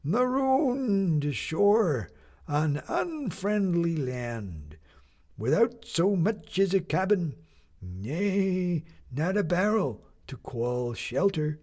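A man voicing an ancient, pompous old sea captain with a creaking voice, orating slowly and grandly. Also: high voice, sing-song, darkish tone, pitched above the performer's own voice, heavily acted. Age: older. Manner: slow, grandiloquent speechmaking.

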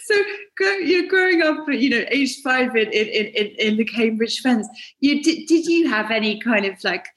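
A woman hosts an interview podcast, her voice fairly high and full of gushing enthusiasm. She stumbles over repeated words as she talks, and the recording carries a roomy echo. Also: expressive, normal speed, a bit bright. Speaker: podcast host.

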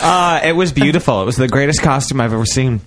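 A man's voice: medium pitch, quick pace, very noisy. A man co-hosting a podcast, telling anecdotes casually in a campy, self-congratulating way. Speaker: podcast co-host.

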